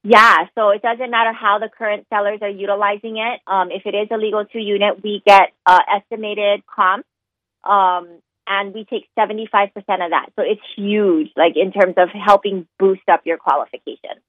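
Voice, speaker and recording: A woman's voice: mid-range voice, fairly even intonation, normal speed, dry recording. Woman on a tinny phone line. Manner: conversational, explains with many ums and likes. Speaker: mortgage lender guest. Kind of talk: explaining on a talk show.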